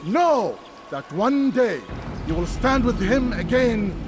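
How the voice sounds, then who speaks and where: An actor playing a solemn, vengeful cleric in a video game, preaching in an oratorical, projecting style. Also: male voice, extremely high pitch, sing-song, slow, full character voice. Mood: solemn, mournful. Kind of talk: declamatory sermon in a game cutscene. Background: music.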